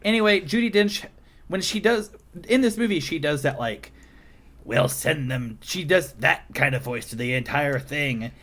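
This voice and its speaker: A man hosting a casual comedic film review podcast, rambling and halting as he searches for words. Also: fairly high pitch, a bit bright. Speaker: podcast host reviewing films.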